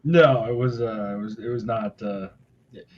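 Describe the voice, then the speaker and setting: A man on a casual online chat, dry and sarcastic, pausing with fillers. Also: lowish voice. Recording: muffled lo-fi mic with faint noise.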